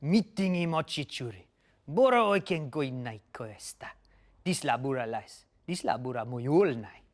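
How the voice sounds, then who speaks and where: Hairy giant forest creature, male actor, drama table read. Unpredictable temper, broken pidgin muttering, highly animated pitch. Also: normal speed, natural voice. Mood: suspicious, aggressive. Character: huge wiry-haired forest beast, volatile, muttering, childlike, suddenly jovial.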